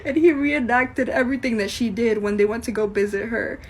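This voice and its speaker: A woman's voice: fairly high pitch, a little fast, slight background noise. Exasperated storytelling woman, faint ambience. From Venezuela, raised in the US. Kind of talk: casual storytelling to camera.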